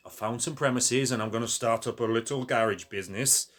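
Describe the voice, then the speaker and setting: A man's voice: medium pitch, a little fast, fairly bright tone, lightly acted. An actor with a Northern English accent playing a loyal, wry former pitman in a naturalistic radio play, plain-spoken and dryly humorous. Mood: wry, matter-of-fact.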